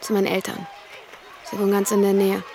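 A woman's voice: medium pitch, monotone, fairly quick, warm tone, lightly acted. A woman playing a cool, teasing, independent teenage girl, speaking in a laid-back, naturalistic way in a radio drama. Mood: casual, inviting. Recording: noisy outdoor crowd ambience behind.

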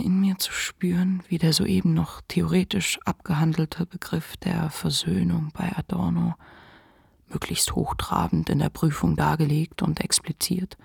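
A weary depressed writer's inner voice, raspy and creaky, reflective radio drama monologue. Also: female voice, lowish voice, little pitch movement, unhurried, darkish tone, no room sound, clean studio sound, full character voice. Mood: hushed reflection. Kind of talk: literary monologue in a radio play.

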